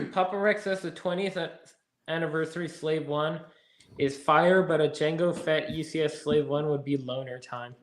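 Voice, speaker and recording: A man's voice: fairly high pitch, moderate pace, reverberant, low-quality recording. A man hosting a casual podcast, chatting and reading out viewer comments. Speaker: podcast host reading live chat. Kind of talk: casual chat.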